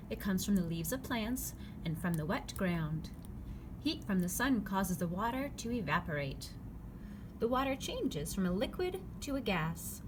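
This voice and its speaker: A woman reading a children's picture book aloud, phrasing it clearly and simply for young listeners. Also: medium pitch. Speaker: read-aloud narrator. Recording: clearly noisy background ambience.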